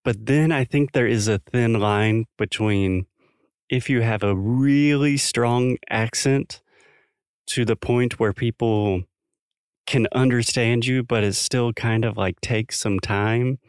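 A man's voice: medium pitch, slow pace, clear, resonant tone, no room sound, clean studio sound. A man from South Carolina co-hosting a podcast, talking thoughtfully and explaining with examples in a casual chat. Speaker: podcast co-host.

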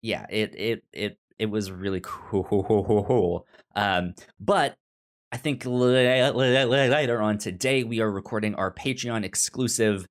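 A podcast host with a halting, stammering delivery, chatting casually about a film. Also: mid-range voice. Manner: halting, stammering with repeated syllables. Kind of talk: casual movie discussion podcast.

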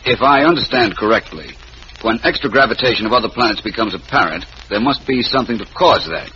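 An actor playing a suave, wry private detective in a 1940s-style radio mystery, polished and drily witty. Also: male voice, on the low side, expressive, quick pace, lightly acted. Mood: inquisitive. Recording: muffled, hissy old radio recording.

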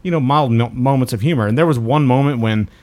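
A man's voice: mid-range voice, quick pace, a little mellow. Casual male podcast film reviewer. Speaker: podcast host reviewing films.

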